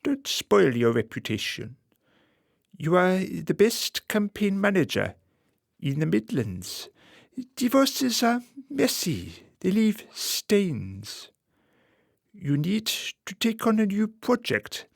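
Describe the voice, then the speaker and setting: Guy doing suave sinister political fixer for a radio drama, highish voice that swoops around, very formal, measured. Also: very animated, creaky, no echo, clean studio sound, exaggerated voice. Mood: smooth, persuasive. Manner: formal, measured, deliberate phrasing. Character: suave, calculating male political fixer, courteous but menacing. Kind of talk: radio play acting.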